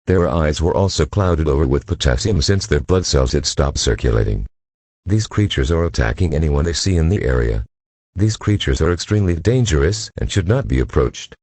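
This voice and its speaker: Very deep husky male alert announcer. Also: a little mellow, dry recording. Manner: formal, impersonal. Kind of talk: scripted emergency alert announcement.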